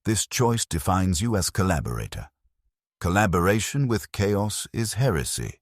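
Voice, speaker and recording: A man plays a cold tech priest interrogator from a dark sci-fi audio story, with an extremely deep, husky voice. He pronounces judgment formally and sternly, his pitch moving with zealous weight. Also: big pitch swings, normal speed, no room sound, studio quality. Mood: stern, condemning.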